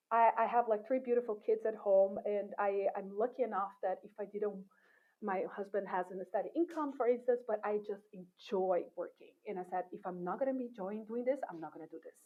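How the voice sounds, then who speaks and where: A middle-aged woman from Brazil whose first language is Portuguese, chatting as a guest in an interview, animated, laughing often and restarting her sentences. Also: mid-range voice, a little fast. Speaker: guest, assistant professor. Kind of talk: casual interview chat. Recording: tinny phone-like sound.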